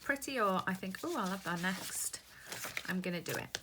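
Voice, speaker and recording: A woman's voice: medium pitch, animated, normal speed. A Welsh woman chatting casually through a crafting tutorial, rambling as she sorts through papers at her desk. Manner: rambling, chatty, talks while handling papers. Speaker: craft vlogger. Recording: paper crinkling noise close by. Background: paper rustling and crinkling.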